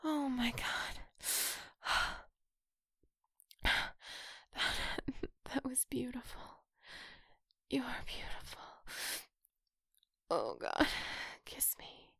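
A woman performing an intimate audio roleplay in a soft, breathy whisper, close to the microphone. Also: high voice, big pitch swings, very slow, long pauses, dry recording.

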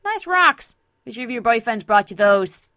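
A woman voicing a brash, playful young mare DJ from a cartoon, in a loud, teasing and exuberant manner. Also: fairly high pitch, very animated, a little slow, no echo, studio quality, heavily acted. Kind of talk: fan fiction character voice.

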